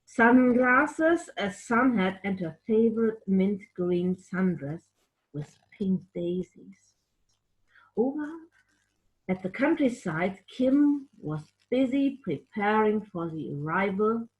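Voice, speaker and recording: A woman tells a picture-book story to children at a slow, unhurried pace. Her delivery is expressive, and she speaks to young listeners directly, as a puppeteer storyteller would. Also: mid-range voice, rough recording. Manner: expressive, addressing children with questions.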